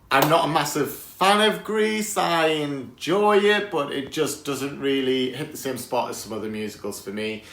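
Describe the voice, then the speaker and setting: A man with a croaky voice chatting enthusiastically to camera outdoors on a windy day, laughing often. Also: mid-range voice, a bit bright, echoey room. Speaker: vlogger. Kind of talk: casual chat to camera.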